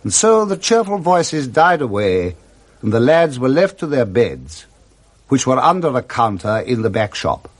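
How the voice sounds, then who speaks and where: A man's voice: mid-range voice, very animated, a little slow. A man with an English accent narrating expressively and theatrically in a scripted radio drama.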